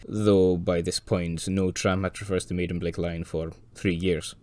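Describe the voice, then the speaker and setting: A man with a slightly hoarse voice from a cold, narrating a scripted railway documentary with dry, wry asides. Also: deep voice, a little mellow, no echo. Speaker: railway video presenter.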